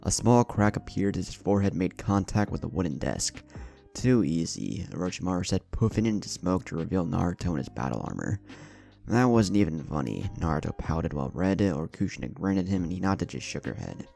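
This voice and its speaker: Very deep, gravelly male story narrator. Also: expressive, fast talker, few pauses, mellow. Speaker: fan fiction narrator. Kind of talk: scripted story narration with character dialogue.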